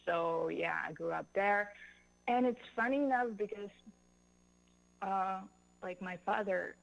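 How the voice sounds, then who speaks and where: A woman's voice: lowish voice, creaky, low-quality recording. A woman from Russia, a musician guest answering interview questions in an easygoing way full of "like" and "you know". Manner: easygoing, lots of fillers like "like" and "you know", laughs easily.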